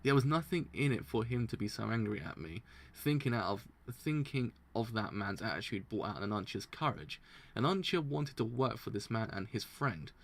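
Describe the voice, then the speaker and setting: A man streaming a live read-through of comics, stumbling over words, restarting lines, and breaking into excited laughter, his throat sounding strained. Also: lowish voice, moderate pace, creaky. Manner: excitable, stumbles and restarts while reading aloud, bursts into laughter. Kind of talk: live reading and reaction.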